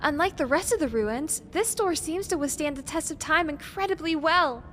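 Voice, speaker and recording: Woman playing a high-voiced, earnest young researcher. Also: animated, moderate pace, fairly bright tone. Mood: intrigued. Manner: earnest, precise, hesitant when unsure. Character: careful, bookish young woman researcher of ancient ruins. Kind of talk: audio drama acting. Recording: faint background noise.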